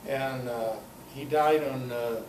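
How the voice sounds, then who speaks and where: An older man giving an informal talk at a club meeting, telling personal anecdotes in a halting, conversational way. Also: mid-range voice, fairly even intonation, a little mellow. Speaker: guest speaker at a club meeting. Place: meeting room, in front of an audience. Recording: echoey, noisy room recording from far off.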